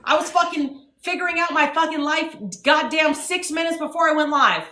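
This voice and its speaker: A woman's voice: high voice, moderate pace, bright, forceful tone. Heated, profane woman in an echoey room. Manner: blunt, profane, emphatic. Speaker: live stream host. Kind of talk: heated live stream rant.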